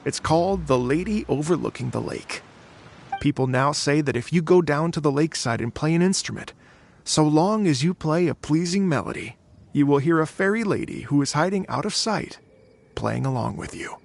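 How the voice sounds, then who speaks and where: An actor playing an earnest, tired middle-aged bard in a video game, telling a legend in a measured storytelling manner. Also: male voice, on the high side, animated, gravelly. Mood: wistful. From Fontaine.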